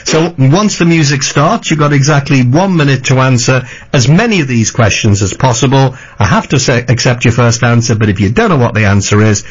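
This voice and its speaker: A man hosting a radio phone-in quiz, chatty and laughing often as he reads questions briskly. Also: medium pitch, animated, husky. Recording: muffled lo-fi radio broadcast.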